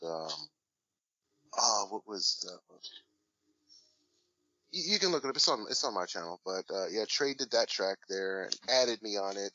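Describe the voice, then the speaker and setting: A man's voice: low voice, sharp tone, dry recording, muffled recording. A man talking casually as an interview guest, rambling and hesitant with many fillers. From Washington State, USA. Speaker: guest, hip hop artist.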